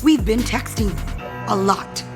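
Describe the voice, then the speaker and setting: A woman's voice: on the high side, measured pace, music in the background, close to an everyday voice. An actress playing a bitter, threatening wife in an audio soap opera, cutting and commanding as she confronts her rival. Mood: menacing.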